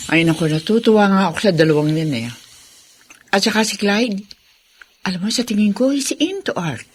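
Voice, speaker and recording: A woman playing a kind, encouraging elder-sister figure in a radio drama, with a Filipino accent and gently advising. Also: medium pitch, normal speed, a little hiss or hum, lightly acted. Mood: cheerful, admiring.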